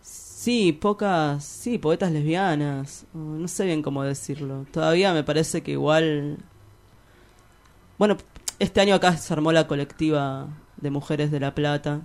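A woman's voice: low-pitched, unhurried, darkish tone. A young Argentine woman with a Rioplatense accent, giving a casual interview in a rambling, hesitant, slangy and giggly way. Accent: Rioplatense Spanish. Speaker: interview guest, poet.

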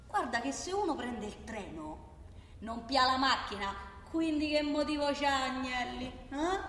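Actress as a high-voiced, chatty Roman woman, echoey hall. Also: animated, a little slow, fairly bright tone. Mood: knowing, sarcastic. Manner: conversational, emphatic, rhetorical questioning, repeats herself. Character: chatty opinionated working-class Roman woman, indignant about railways. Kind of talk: colloquial theatrical monologue, radio drama. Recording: echoey reverberant hall, clearly noisy.

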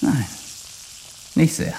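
An actor playing a cocky, penniless drifter and mechanic with a casual, cheeky way of talking in a radio drama. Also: male voice, drawn-out words, mellow, a little hiss or hum. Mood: flirting, brash. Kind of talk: naturalistic radio drama dialogue. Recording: faint kitchen sizzle and ambience.

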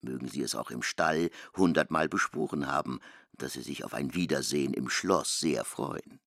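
A man narrating a radio play in a slow, measured reading, set back from the microphone. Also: very deep voice, creaky, fairly bright tone, no echo, clean studio sound.

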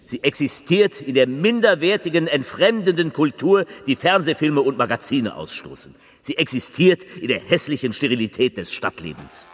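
Fervent politician with dramatic swells. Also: male voice, mid-range voice, very animated, no echo. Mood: agitated, emphatic. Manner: declamatory, deliberate pauses, emphatic swells and drops. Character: fervent male politician delivering a rambling, faltering public speech. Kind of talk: theatrical political oratory.

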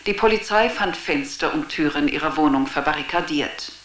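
A woman's voice: deep voice, moderate pace, natural voice. A woman playing a formal, factual news announcer reading a police appeal in a radio drama. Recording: roomy, echoing sound.

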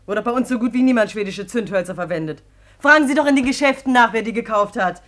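An actress in a 1950s German radio play playing a flirtatious, teasing washerwoman who mocks with drawn-out endearments. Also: on the high side, a bit bright, lightly acted. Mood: saucy, confident. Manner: coquettish, mocking, drawn-out endearments.

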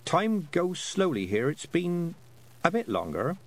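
A man's voice: fairly high pitch, big pitch swings, unhurried, pitched above the performer's own voice. A man reading aloud, voicing a sensible, practical young girl who speaks plainly and directly. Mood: level.

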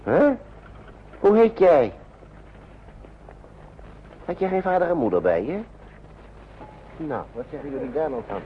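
An actor playing a kindly, worried uncle in a wartime radio play, talking to children in a soothing, coaxing, hesitant way. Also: male voice, a little high, very animated, measured pace, pauses often. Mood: concerned, coaxing. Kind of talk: Dutch radio play acting. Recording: muffled, noisy old radio recording.

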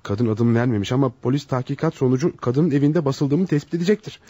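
A man's voice: mid-range voice, quick pace, dark tone, husky, no room sound, natural voice. An actor playing an anxious, smitten male doctor in a melodramatic radio play, fretful and dramatic in delivery. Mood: worried. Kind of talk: melodramatic radio theatre acting. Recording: dull lo-fi radio drama recording.

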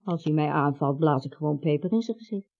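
Radio comedy role: blunt, superstitious nag of the house, husky, scolding yet matter-of-fact. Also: female voice, low-pitched, lively intonation, brisk, a little mellow, exaggerated voice. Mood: conspiratorial, earnest. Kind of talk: comic radio drama acting. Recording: dull, lo-fi radio play recording.

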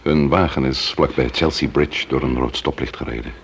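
An actor playing a sober, probing investigator in a Dutch radio play, with a measured, formal way of questioning. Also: male voice, rumbling low, creaky, slight background noise. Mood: sober, apologetic. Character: sober, probing male investigator, breaking grim news.

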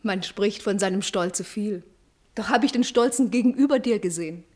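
A woman's voice: medium pitch, moderate pace, a little mellow, natural voice. An actress playing a loyal, encouraging lady-in-waiting to a princess in a classical verse radio drama, speaking formally and declamatorily. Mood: reassuring.

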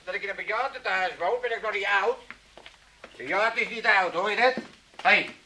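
Blustery old amsterdam dad in a radio comedy, high bright voice, rambles and stammers, feels sorry for himself. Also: male voice, fairly quick, a little hiss or hum. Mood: indignant. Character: cheeky, self-pitying elderly father from a retirement home. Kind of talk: 1980s Dutch comedy radio play acting.

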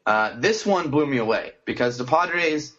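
Man, podcast co-host, casual sports chat, halting with fillers, muffled lo-fi sound. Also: medium pitch, expressive, a bit bright. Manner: conversational, frequent fillers and restarts. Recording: dull lo-fi podcast audio.

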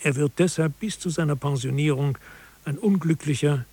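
A man with a fairly high voice and a husky edge narrates a satirical radio feature. His delivery is scripted, measured and matter-of-fact, like someone presenting case studies. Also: mellow.

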